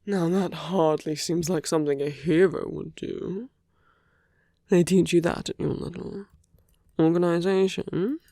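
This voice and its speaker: A seductive, smug villain acted out by an ASMR performer speaks close and dry, with plenty of gravelly fry. The delivery is intimate and teasing, drifting between a breathy murmur and whispers, as in a romantic audio roleplay. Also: medium pitch, fairly even intonation, unhurried, dark tone, no echo. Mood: amused, mocking. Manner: intimate, teasing, drawling, often dropping to whispers.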